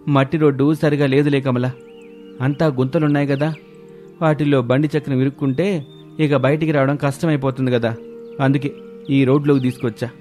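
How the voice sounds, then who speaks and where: Male narrator as village husband, patient, placating, explaining, moral story, medium pitch, background music. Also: level tone, brisk, long pauses, a little mellow, natural voice. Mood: patient, reasoning. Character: placating village husband driving a bullock cart.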